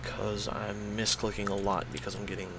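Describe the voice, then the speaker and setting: A man talking casually to viewers while he draws, his voice hoarse and strained from hours of talking and his thoughts rambling along as he goes. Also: lowish voice, monotone, moderate pace, background noise. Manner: rambling, thinks aloud. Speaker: YouTuber drawing on stream. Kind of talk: casual commentary while drawing.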